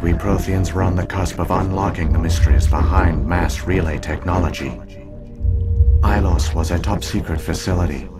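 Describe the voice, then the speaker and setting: A deep-voiced ancient artificial intelligence acted by a man from a science fiction game. It is the grave keeper of a dead people and speaks in measured, formal phrases. Clear music plays behind it. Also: lightly acted.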